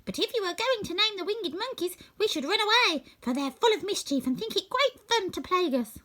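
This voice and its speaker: Storybook mouse monarch, little and squeaky, pitched way up, instructive and brisk. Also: female voice, animated, pushed and bright, full character voice. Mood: cautioning. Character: tiny squeaky Queen of the Mice, helpful and bossy.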